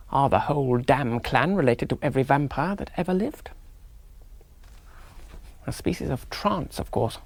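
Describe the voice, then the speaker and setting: A young English gentleman officer musing to himself in a radio play. His voice is creaky and closely, dryly recorded, clipped and wry with a romantic streak. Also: fairly high pitch, no echo, exaggerated voice. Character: rational young English army gentleman, jaunty, wryly romantic. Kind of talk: 1970s radio drama monologue.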